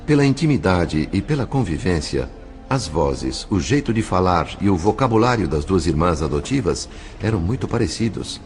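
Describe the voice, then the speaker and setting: A deep-voiced Brazilian man narrates an audio drama over clearly audible music. His scripted delivery is formal and measured, with dramatic phrasing. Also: very animated, darkish tone.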